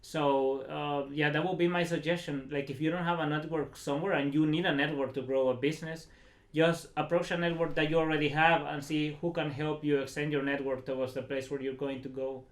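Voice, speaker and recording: Higher-voiced man, echoey room. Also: level tone, a little fast. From El Salvador. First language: Spanish. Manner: informal, chatty, peppered with filler phrases. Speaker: interview guest, startup founder. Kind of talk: casual interview talk.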